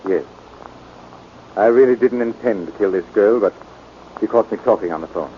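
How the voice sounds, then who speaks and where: An actor playing a meek, anxious older American man with a hesitant, halting manner, in 1940s radio drama style. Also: on the low side, lightly acted. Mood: hesitant, confessing. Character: meek, anxious older stepfather making a false confession. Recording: muffled, noisy 1940s radio recording.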